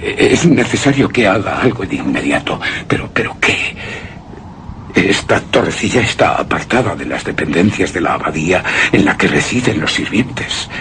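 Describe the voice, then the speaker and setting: An actor playing a tormented man keeping a deathwatch, speaking in a halting, haunted, dramatic way in a suspense and horror radio play. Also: low-pitched, sing-song, a little hiss or hum, exaggerated voice. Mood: desperate, agitated. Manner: haunted, halting, dramatic, breaking into hushed whispers. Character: tormented male narrator keeping deathwatch over a shrouded woman.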